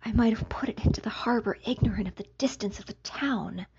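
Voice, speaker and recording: A woman reading a gothic novel aloud as scripted narration, in a very breathy, whispery voice with measured pauses between phrases. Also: fairly high pitch, darkish tone, dull audio, slight background noise. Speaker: audiobook reader, podcast host.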